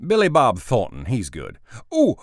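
A man voicing an irritable, wealthy male tech boss who is brash, impatient and quick to swear. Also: medium pitch, wildly swooping pitch, no echo, studio quality, pitched above the performer's own voice.

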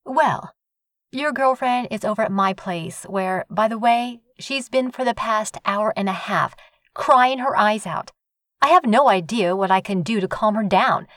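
Guy putting on a snippy sarcastic young woman, way higher than his own voice, dry sound. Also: a little slow, bright, forceful tone, dry recording. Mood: indignant. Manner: scolding, sarcastic. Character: brash sharp-tongued young woman neighbor. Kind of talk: audiobook reading.

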